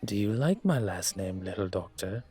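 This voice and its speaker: A man playing a suave, commanding dragon mafia boss in an intimate roleplay audio, teasing and deliberate, with a husky, breathy voice. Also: fairly low pitch, lively intonation, dark tone.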